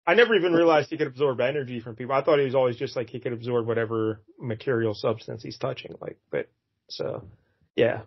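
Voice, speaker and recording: A man's voice: medium pitch, quick pace, breathy edge, dull audio. Rambling man chatting comics. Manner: rambling, many fillers, chuckles. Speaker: podcast co-host. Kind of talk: casual comic book chat.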